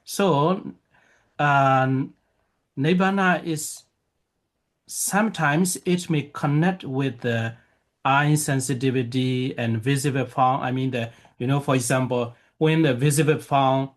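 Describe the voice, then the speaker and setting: A man whose first language is Burmese, a monk teaching a lecture, talking haltingly with many fillers and restarts. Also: mid-range voice, fairly even intonation. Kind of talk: Dharma lecture with questions.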